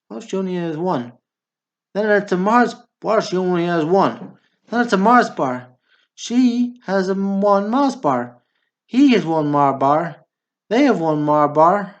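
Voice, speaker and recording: A man's voice: on the high side, highs cut off. A man playing a blunt, bickering small-town Southern brother in a radio drama. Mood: argumentative. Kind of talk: stage comedy dialogue, radio drama acting.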